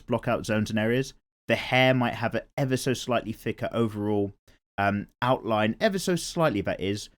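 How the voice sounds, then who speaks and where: A man with an English accent and a nasal voice, casually rambling and reading viewer comments aloud while he sketches. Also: medium pitch. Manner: chatty and rambling, swears casually. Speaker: art channel host.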